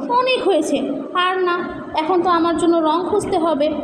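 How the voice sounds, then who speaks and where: A woman's voice: extremely high pitch, a little slow. A woman voicing a small, eager storybook butterfly that longs for colours, exclaiming and repeating itself wishfully. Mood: determined. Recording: echoey reverberant room with background noise.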